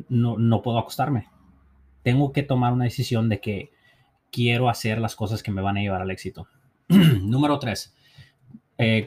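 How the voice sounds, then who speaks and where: A man's voice: medium pitch, a little mellow. A man hosting a podcast, giving an earnest, encouraging motivational talk in a language that is not his first, often restarting and restating his points. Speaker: podcast host.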